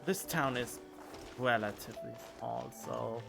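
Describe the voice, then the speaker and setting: Low, slow-talking, playful woman streamer. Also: creaky. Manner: playful, joking asides. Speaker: game streamer. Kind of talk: casual playthrough commentary. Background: game music.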